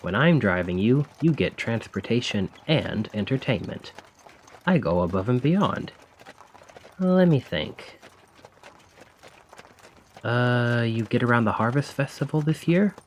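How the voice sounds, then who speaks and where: A man's voice: mid-range voice, animated, measured pace, long pauses, slight background noise, natural voice. A man playing a chatty, cheeky stand-in carriage driver in an audio roleplay, talking casually and awkwardly to the listener with sly insinuation. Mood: chatty, teasing. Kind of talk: ASMR roleplay acting, addressing the listener.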